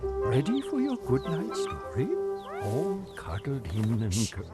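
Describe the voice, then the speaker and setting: A woman's voice: rumbling low, huge pitch swings, measured pace, heavily acted. A woman voicing a motherly, easily shocked animal parent in a cartoon. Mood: gentle, curious. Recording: loud music under voice.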